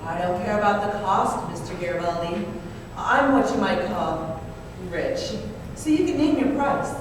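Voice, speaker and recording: A woman playing a troubled, sheltered, rich young wife in an old-time radio drama, prim and halting with melodramatic touches. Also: medium pitch, lively intonation, natural voice. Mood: troubled, insistent. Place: live stage performance in an echoey room. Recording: echoey hall, distant mic, clear noise.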